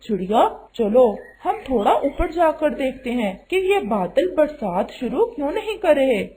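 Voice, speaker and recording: A woman's voice: high-pitched, very animated, a little slow, a crowd in the background, rough recording. A woman voicing plain-spoken bird and cloud friends in a children's cartoon, in a matter-of-fact manner. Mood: friendly, eager. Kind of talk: Hindi children's cartoon voice acting.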